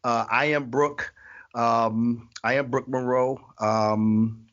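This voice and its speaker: A man in his forties chatting as an interview guest, relaxed, laughing often and repeating his phrases. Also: medium pitch, takes it slowly, dull audio. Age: 40s. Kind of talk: casual interview chat.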